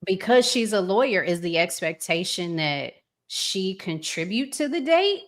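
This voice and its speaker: Female podcast host, very unhurried pace, deliberate conversational interviewer. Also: medium pitch, big pitch swings.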